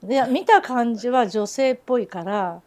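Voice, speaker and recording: Teahouse proprietress and geisha, slightly high voice, chatty and fond storyteller who laughs easily. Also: female voice, normal speed, a little mellow. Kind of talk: reminiscing interview chat.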